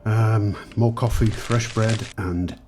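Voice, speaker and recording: An actor playing a matter-of-fact companion in an audio drama, speaking in a dry, terse, sceptical way. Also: male voice, fairly low pitch, steady tone, takes it slowly, background noise.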